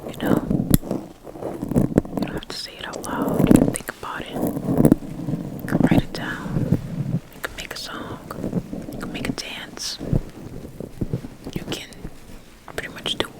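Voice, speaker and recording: An ASMR roleplay voice that stays in a soft, breathy whisper with almost no voicing. The delivery is slow and soothing, like personal attention given close to the microphone. Words and short phrases get softly repeated in a reassuring way. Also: rough recording. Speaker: ASMR performer.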